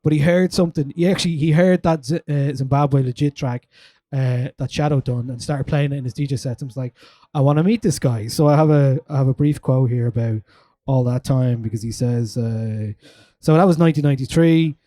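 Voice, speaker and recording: A man's voice: medium pitch, a little fast, dark tone, no room sound. A man hosting a casual chat at a live album listening event, chatty and full of fillers. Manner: chatty, lots of "like" fillers, reads quotes aloud. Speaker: host.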